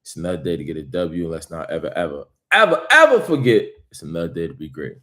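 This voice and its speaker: Deep-voiced motivational man vlogging. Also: big pitch swings, fast, a bit bright. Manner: motivational, rambling, emphatic bursts. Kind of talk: casual live vlog monologue.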